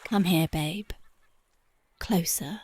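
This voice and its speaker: A woman voicing a tough but devoted young punk girlfriend in an ASMR roleplay, talking softly and intimately close to the mic, often dropping to a whisper. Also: fairly low pitch, very slow, slightly rough, full character voice. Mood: tender, dreamy. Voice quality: soft, intimate, partly whispered. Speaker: voice actress.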